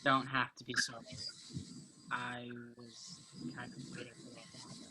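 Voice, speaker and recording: A man's voice: medium pitch, monotone, moderate pace, close to an everyday voice. Man acting earnest young college guy, noisy audio. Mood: tender, frustrated. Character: charming, earnest male college soccer player courting a teammate. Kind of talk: virtual staged play reading. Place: remote virtual reading over video call.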